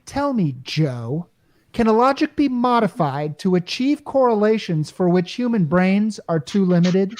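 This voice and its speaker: Stammering, harried husband, acted out. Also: male voice, sits high, unhurried. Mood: demanding. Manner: stammering, excitable, slangy. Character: frantic, harried everyman repairman and husband. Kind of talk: 1950s radio comedy acting.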